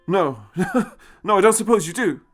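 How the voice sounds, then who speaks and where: A man's voice: high voice, expressive, unhurried, a bit bright, soft background music, pitched above the performer's own voice, heavily acted. A man voicing an eager young male space traveller who bluffs politely with a stammering, nervous, impatient manner. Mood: flustered.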